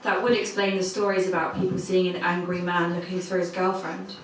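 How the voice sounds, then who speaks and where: A woman's voice: on the low side, little pitch movement, brisk, close to an everyday voice. A woman playing a curious investigator, putting brief, probing questions to a witness in an audio drama interview. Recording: very echoey room.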